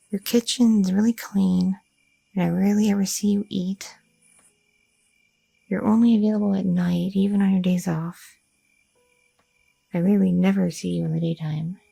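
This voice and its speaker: A curious, chatty young girlfriend, voiced by a woman in an ASMR roleplay, her voice very gravelly, mellow and softly husky. She rambles with many fillers and asks question after question in a nervous way. The close-mic sound is dry, with faint music behind. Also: medium pitch, no echo, full character voice, low-quality recording. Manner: rambling, inquisitive, filler-heavy talk.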